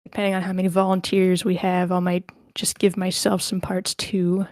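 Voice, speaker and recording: A woman's voice: fairly low pitch, monotone, moderate pace, a little mellow, no echo. Chatty woman hosting, dry close sound. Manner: informal, chatty. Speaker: host of online script table reads. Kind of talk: casual chat, organizing a cast.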